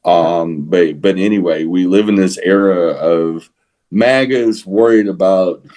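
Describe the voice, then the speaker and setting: A man with a Texas accent talking casually as a podcast co-host, halting often to repeat and rephrase his words. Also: sits low, dark tone. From San Antonio, Texas. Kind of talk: casual opinionated podcast talk.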